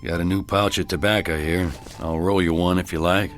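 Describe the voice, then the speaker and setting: An American actor playing a terse, world-weary, sardonic small-town sheriff in a Western radio drama. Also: male voice, deep voice, steady tone, brisk, soft background music, lightly acted. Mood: quietly kind.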